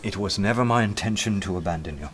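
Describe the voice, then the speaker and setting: An actor playing a haunted, earnest detective in a radio drama, intense, fearful yet resolute. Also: male voice, low voice, fast, a bit bright, faint music behind. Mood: haunted, tender.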